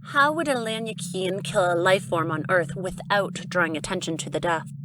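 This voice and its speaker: A woman playing a sly, falsely friendly alien director in a science fiction audio drama, speaking coyly and deliberately. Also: on the low side, background noise, close to an everyday voice.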